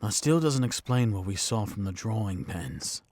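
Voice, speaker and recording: Man acting a skeptical authority, lively intonation. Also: on the low side, normal speed, no room sound, clean studio sound. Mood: suspicious. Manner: doubting, insistent. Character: skeptical, questioning man of authority in fantasy world. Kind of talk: audio drama acting.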